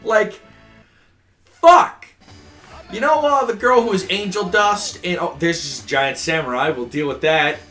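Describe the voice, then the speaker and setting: A young man rambles through a profane, mocking rant while playing an old arcade game with a friend. His voice sits high and sounds bright and forward. Faint game music plays behind him. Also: measured pace. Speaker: let's player. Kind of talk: casual gameplay commentary.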